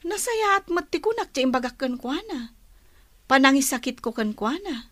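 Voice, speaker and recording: A middle-aged woman in a radio drama who feels betrayed after lending help. Her voice is high and aggrieved, recorded close and dry. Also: sing-song, normal speed, fairly bright tone, no room sound. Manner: aggrieved, complaining.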